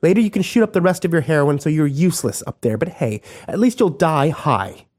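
Male narrator doing a sharp-tongued young woman, high-pitched, furious and defiant. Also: sing-song, brisk. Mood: bitter, steadier. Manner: shouting, swearing, biting.

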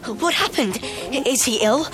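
A woman's voice: on the high side, animated, a little slow, music in the background, voice pushed up. A woman voicing an eager, starstruck young schoolboy in a storybook, pestering with breathless questions. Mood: excited, fascinated.

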